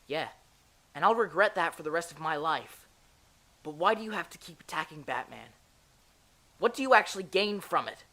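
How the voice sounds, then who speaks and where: A man as an earnest captive young sidekick, highish voice. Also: expressive, moderate pace, long pauses, bright, forceful tone, exaggerated voice. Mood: challenging. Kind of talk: audio drama acting.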